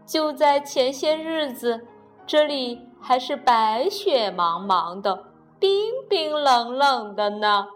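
A wide-eyed little frog boy from a children's storybook, high-pitched and slow, stretching his words in dreamy wonder, as a woman puts him on. Faint music plays softly behind him. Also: animated. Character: small cheerful male frog, dreamy and curious.

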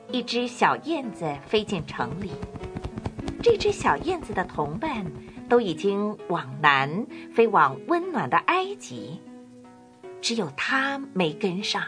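A woman narrating a scripted children's story in an expressive, deliberate way. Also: mid-range voice. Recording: music behind the narration.

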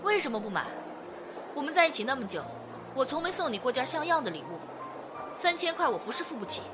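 A woman's voice: sits high, very animated, fast talker. A woman acting a devoted young lover, firm yet tender, in a modern Chinese audio drama, with music underneath. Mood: teasing, tender.

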